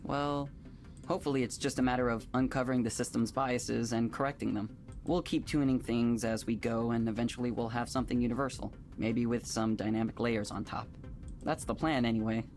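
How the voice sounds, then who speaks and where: An actor playing a polite, composed male tech company manager in a visual novel, speaking in a measured, businesslike way. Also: medium pitch, steady tone, darkish tone, faint music behind, close to an everyday voice. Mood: measured, explaining.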